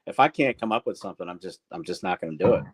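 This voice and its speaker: A man's voice: deep voice, lively intonation, rapid-fire, slight background noise. Candid man chatting on a livestream. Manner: candid, rambling, greets viewers by name. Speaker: livestream co-host. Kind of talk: casual livestream chat.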